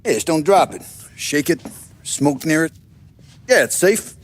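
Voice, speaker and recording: A man playing a sardonic, blackly humorous mob bomb maker in a crime video game, speaking with deliberate wit. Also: on the high side, animated. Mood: sarcastic. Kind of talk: cinematic video game acting.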